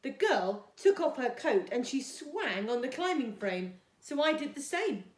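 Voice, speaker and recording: A woman's voice: sits high, sing-song, measured pace. A woman voicing a lonely, polite, timid little boy from a storybook in an earnest childlike manner. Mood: shyly excited. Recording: echoey, roomy sound.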